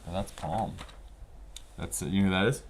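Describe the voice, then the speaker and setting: A man's voice: deep voice, normal speed, a little hiss or hum. A man around fifty speaking as a guest, telling stories casually in loose, slangy talk. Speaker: interview guest, snowboarding veteran. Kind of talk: casual storytelling while flipping through a book.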